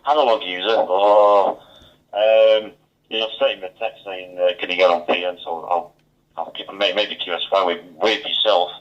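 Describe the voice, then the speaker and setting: Low-voiced man over radio. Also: steady tone, normal speed. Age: middle-aged. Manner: rambling, chatty. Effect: two-way radio transmission. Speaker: amateur radio operator. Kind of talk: casual radio chat. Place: mobile, speaking over two-way radio. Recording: muffled digital two-way radio audio.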